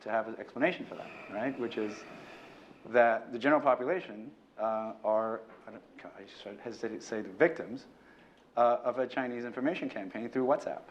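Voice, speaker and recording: A man speaking on an academic panel, thoughtful and hesitant, often pausing with fillers and tag questions. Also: mid-range voice, highs cut off, slight background noise. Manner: hesitant, many fillers and "right?" tags. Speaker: panelist, former fellow.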